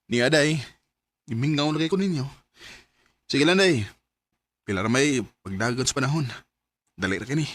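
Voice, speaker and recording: An actor with a Cebuano Filipino accent playing a worried, homesick young husband working abroad in a radio drama. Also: male voice, medium pitch, normal speed, long pauses, fairly bright tone, close to an everyday voice. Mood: homesick, reassuring. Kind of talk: Bisaya radio drama acting.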